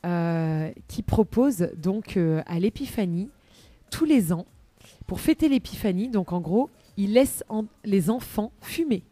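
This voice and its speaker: A woman presenting a chatty live radio magazine segment in a bubbly, playful and expressive manner. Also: mid-range voice, measured pace, no echo.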